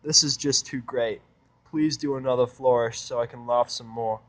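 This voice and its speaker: A man reads as a cynical, menacing male loner in a fan-fiction audio drama. His mid-pitched voice is curt and hard, full of scorn for those around him. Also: steady tone, normal speed, a bit bright, close to an everyday voice. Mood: mocking.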